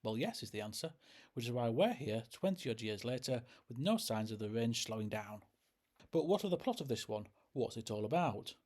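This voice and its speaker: A man hosting a scripted review, speaking in a measured, explanatory way. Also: medium pitch, animated, a little mellow. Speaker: host and reviewer. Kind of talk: scripted narration, audio drama review.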